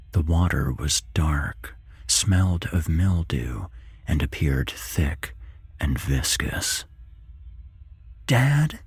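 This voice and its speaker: A man narrating a horror story in a hushed, half-whispered ASMR style, measured and deliberate, close on the mic. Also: extremely low pitch, slightly rough, no room sound.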